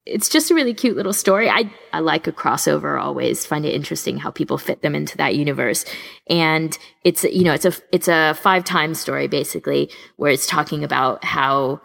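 A woman podcast co-host chatting casually in an informal, animated way, wandering into tangents as she gives recommendations. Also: low-pitched, fairly quick, creaky. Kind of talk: casual chat, recommending audio fan fiction.

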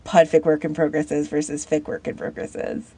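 A woman on a podcast panel chatting casually in an informal, halting way, as if thinking aloud. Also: low voice, completely flat delivery, mellow, husky. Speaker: podcast panellist recommending fan audio fiction. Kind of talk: casual chat.

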